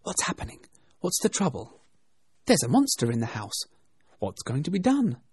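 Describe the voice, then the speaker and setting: Storybook animal bystander done by a narrator: excitable, urgent, fussing, lively swoops, slightly raspy. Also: medium pitch, moderate pace, no room sound, clean studio sound, heavily acted. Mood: alarmed. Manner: urgent, clamouring.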